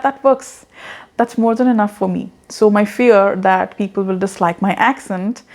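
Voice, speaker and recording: An earnest woman in her thirties, with an accent that blends Indian and American English. She speaks to the camera in an encouraging way, laughs at herself, and repeats words as she goes. Her voice sits at a middle pitch. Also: darkish tone. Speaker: online business coach. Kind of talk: casual talking-head advice.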